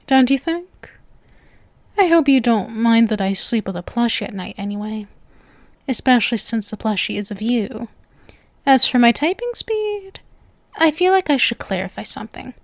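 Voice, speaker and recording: Woman acting a sweet, clingy, high-voiced yandere witch. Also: vocal fry, no room sound. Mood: playful, coy. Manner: coy, nervous, gushing, intimate. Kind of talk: ASMR roleplay, scripted acting addressed to listener.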